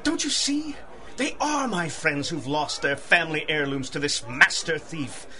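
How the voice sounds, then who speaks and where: A man playing a proud, distraught wealthy gentleman in 1930s-style radio drama, melodramatic, wounded and formal. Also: fairly high pitch, lively intonation, measured pace, background noise. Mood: anguished.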